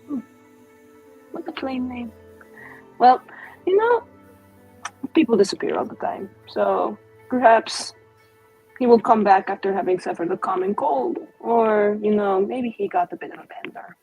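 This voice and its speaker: A woman playing a coy, gossiping noblewoman in a tabletop game, speaking in an arch, theatrical way with hesitant, drawn-out phrasing. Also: medium pitch, lively intonation, slightly rough, phone-quality sound, soft background music. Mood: arch, teasing. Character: gossiping, coy noblewoman feigning disbelief at lurid rumors.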